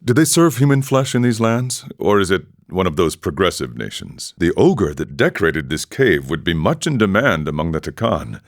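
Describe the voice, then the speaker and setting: An actor playing a serene, eccentric ascetic monk in a video game, speaking in a measured, philosophical way with formal phrasing. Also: male voice, low voice, sing-song. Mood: wry, musing. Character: serene, eccentric ascetic drug-taking monk who relishes suffering. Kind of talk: scripted game character dialogue.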